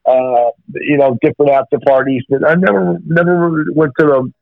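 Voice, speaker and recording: Male musician calling in, a bit rough-voiced, meandering and halting, on a muffled dry line. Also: fairly high pitch, fairly even intonation, no echo. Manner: rambling, many restarts and fillers. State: slightly rough voice after rehearsal. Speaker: musician guest on the phone. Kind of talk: phone interview, reminiscing. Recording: phone call, dull and dry.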